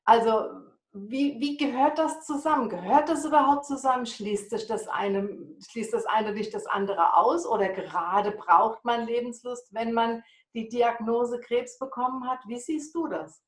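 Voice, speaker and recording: A woman's voice: a little high, unhurried, echoey room. A woman hosting a podcast, introducing and interviewing a guest in a conversational way.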